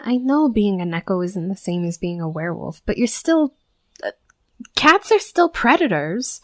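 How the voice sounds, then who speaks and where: A woman's voice: medium pitch, no echo, natural voice. A young woman playing a brash, sweary tsundere werewolf bully who scolds with grudging protectiveness, in a scripted audio roleplay. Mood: flustered, goading. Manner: scolding, sarcastic, sweary, exasperated. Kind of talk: scripted first-person roleplay audio acting.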